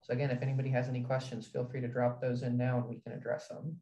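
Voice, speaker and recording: A man moderating a webinar on a video call, giving brief flat prompts for questions. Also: medium pitch, very fast, poor audio. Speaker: event moderator.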